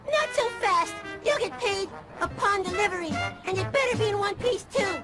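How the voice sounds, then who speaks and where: An actor voicing a timid, excitable, plaintive little boy in a cartoon video game. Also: very high voice, normal speed. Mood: demanding. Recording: loud music behind the voice. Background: music.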